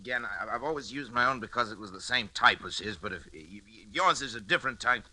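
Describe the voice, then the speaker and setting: An actor playing a tormented, obsessed middle-aged surgeon and father in a suspense radio drama, speaking in a halting, agitated stammer. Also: medium pitch, animated, sharp tone. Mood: feverish excitement. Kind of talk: 1940s suspense radio acting. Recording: old radio recording, music behind.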